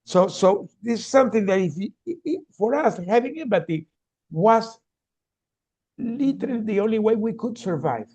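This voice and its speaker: A man's voice: high voice, lively intonation, moderate pace, dark tone. A man from Venezuela, telling his story earnestly as a keynote speaker and often restarting his words. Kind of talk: storytelling talk on a video call.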